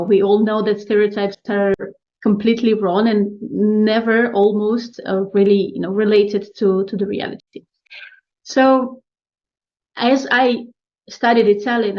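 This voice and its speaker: A woman with a Ukrainian accent talks through her story as a podcast guest, pausing and restarting often, with light laughter. Her pitch sits mid-range, and the audio is somewhat dull and lo-fi. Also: darkish tone. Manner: thoughtful, many fillers and restarts, laughs lightly. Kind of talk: casual interview talk.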